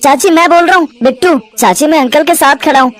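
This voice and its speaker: A woman's voice: high-pitched, fast, natural voice. A woman voicing an innocent, eager little boy in a family story.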